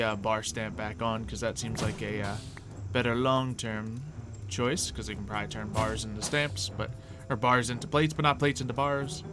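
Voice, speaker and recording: Playful man commentating gameplay over music. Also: medium pitch. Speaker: gaming video host. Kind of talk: casual gameplay commentary, thinking aloud. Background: background music.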